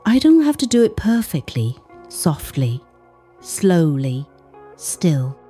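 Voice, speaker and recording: A woman softly narrating a scripted bedtime meditation in a gentle, soothing, hushed way, in short measured phrases. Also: lowish voice, very animated, breathy edge, soft background music. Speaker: sleep podcast narrator.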